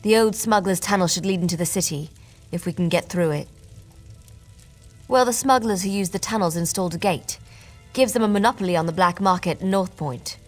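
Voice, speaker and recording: A woman voices a teasing female rogue from a fantasy game. Her voice sits low and her delivery is dry and wry. Soft game music plays faintly behind her. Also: fairly quick, natural voice. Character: sly female rogue companion, teasing and knowing.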